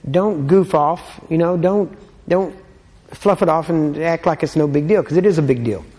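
Man, Texan accent, preacher, casual teaching, folksy, joking asides, slightly high voice. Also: moderate pace, warm tone, big-room sound. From Texas. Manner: folksy, joking asides, frequent "you know" and "all right?".

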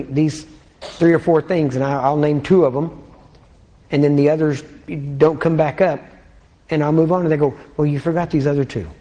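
A man with a Texan accent preaching an informal sermon, keeping it conversational with frequent checks like "amen?" and clearing his throat often. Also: on the high side, mellow.

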